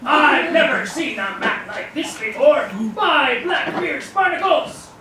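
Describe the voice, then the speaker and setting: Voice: high-pitched, animated, slow pace. A performer playing a boastful, blustering pirate captain in a live puppet show. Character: boastful pirate captain guarding his treasure. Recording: echoey hall, distant mic, noisy.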